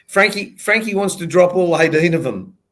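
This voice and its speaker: A man co-hosts a footy chat with a notably high voice for a man. He cracks jokes and teases the others in loose, easy banter. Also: lively intonation, a little slow, fairly bright tone. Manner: joking, teasing banter. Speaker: podcast co-host.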